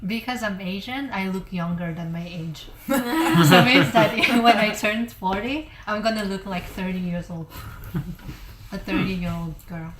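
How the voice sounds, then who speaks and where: Woman regular, mid-pitched, chatty and laughing, echoey room, chatter behind. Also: unhurried. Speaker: podcast regular. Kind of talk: casual chat. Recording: echoey room with crowd noise.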